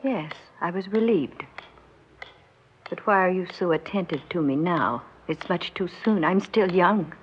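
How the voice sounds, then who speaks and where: A woman's voice: on the low side, a little slow, lightly acted. An actress playing an anxious, vain wife and mother of about forty, genteel and hesitant, in a mid-century radio drama. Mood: hushed, fearful. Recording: muffled, noisy old radio recording.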